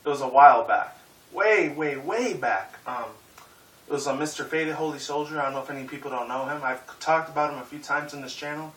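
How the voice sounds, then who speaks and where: A young man in his twenties talks loosely in a casual vlog, rambling, swearing and filling gaps with "like" and "um." His voice is bright and forward. He sits back from the mic in an echoey room with a faint hiss. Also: a little high, fairly quick. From Puerto Rican and Mexican heritage. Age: 20s. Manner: rambling, profane, filler-heavy. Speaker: YouTuber. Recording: roomy echo, mic at a distance, faint hiss.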